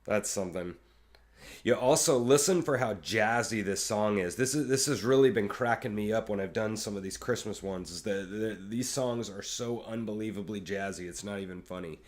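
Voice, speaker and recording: A man with a fairly low voice talks casually about music, rambling and circling back with plenty of "uh" and "um." He sounds like a friendly reaction-video host thinking aloud. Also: normal speed. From Kansas City area. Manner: rambling, many fillers and restarts.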